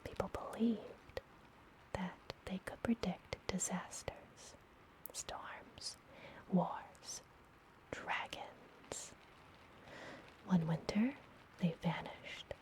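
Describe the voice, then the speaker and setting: A woman playing a friendly, mysterious witch healer in a whispered ASMR fantasy roleplay, soothing and gently teasing. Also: medium pitch, poor audio. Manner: soothing, unhurried, softly whispered.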